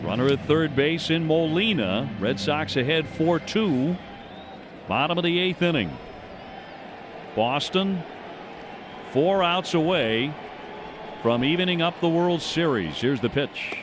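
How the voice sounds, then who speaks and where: A man's voice: on the high side, slow pace, pauses often. Male baseball radio announcer over stadium noise. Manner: descriptive, clipped phrases that follow the action. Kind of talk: live play-by-play commentary. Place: ballpark broadcast position. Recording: noisy outdoor stadium ambience. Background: steady outdoor stadium noise.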